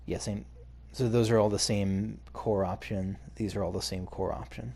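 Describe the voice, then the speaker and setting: A man's voice: fairly low pitch, fairly even intonation, normal speed, darkish tone, husky. A man giving casual video game playthrough commentary, hesitantly thinking aloud as he goes. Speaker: gaming video host.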